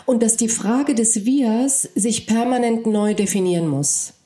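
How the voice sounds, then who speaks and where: A woman's voice: fairly high pitch, measured pace, dark tone, roomy sound. A woman, a native Polish speaker who grew up in Germany, giving a thoughtful, searching interview answer with frequent hesitations. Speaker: interview guest. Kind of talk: reflective conversation.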